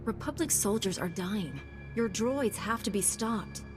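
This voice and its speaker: A woman's voice: mid-range voice, animated, unhurried, natural voice. An actress playing a self-assured heroic woman knight in a video game, speaking firmly and directly. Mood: stern. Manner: firm, composed, direct. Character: resolute, self-assured female Jedi knight hero. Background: game music.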